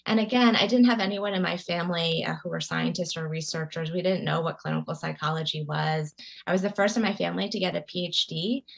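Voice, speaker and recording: A woman's voice: fairly low pitch, fairly even intonation, fast, vocal fry. A woman in her forties, from Taiwan, gives a conversational lecture over a video call from home, with frequent ums and restarts. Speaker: guest lecturer. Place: home room with bookshelves. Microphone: video call webcam.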